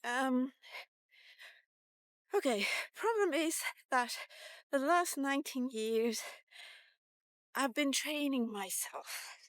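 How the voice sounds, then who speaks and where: A middle-aged woman, not a native English speaker, with an accent mixing Irish and British influences, thinking aloud in short, halting fragments in a casual vlog monologue. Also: high-pitched, dry recording. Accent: non-native English with Irish and British influences. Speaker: vlogger.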